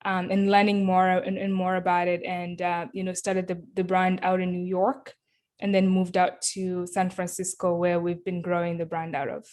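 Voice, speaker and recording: A woman from Kenya answering interview questions over a video call, measured and thoughtful, with frequent ums. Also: fairly low pitch, monotone, a little mellow. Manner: thoughtful, many ums and uhs. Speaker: guest, company founder.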